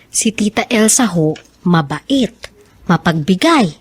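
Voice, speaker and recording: An outspoken young daughter, dry sound. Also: female voice, on the low side, animated, takes it slowly, slightly rough, dry recording, heavily acted. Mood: puzzled, respectful. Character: outspoken, resentful student daughter of poor family. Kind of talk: Filipino radio drama acting.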